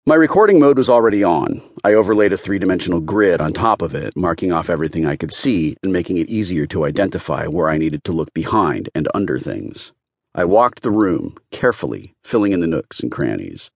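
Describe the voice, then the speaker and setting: A man with a deep voice reads first-person fiction as an audiobook narrator. His intonation is lively and varied. The sound is close and dry, with no room to it. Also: a little fast, creaky, no room sound.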